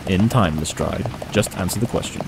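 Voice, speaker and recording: Man acting a dry, superior, low-voiced detective. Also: moderate pace, vocal fry, noisy background. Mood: dry, impatient. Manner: curt, superior, dryly sarcastic. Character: brilliant, dry, condescending male pony detective with cat allergy. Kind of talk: audio drama acting.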